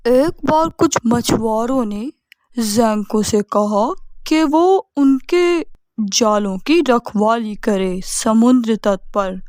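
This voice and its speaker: Slow, higher-pitched woman telling a story. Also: darkish tone, no room sound. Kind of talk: scripted bedtime story narration.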